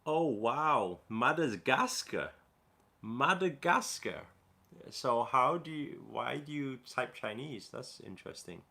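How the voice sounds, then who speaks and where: A man from Newcastle hosts a casual livestream, reading out viewer comments and answering them. His voice is gravelly with a bright, forceful edge. He talks loosely, with lots of fillers and restarts. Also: medium pitch, lively intonation, slow. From Newcastle, England. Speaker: livestream host.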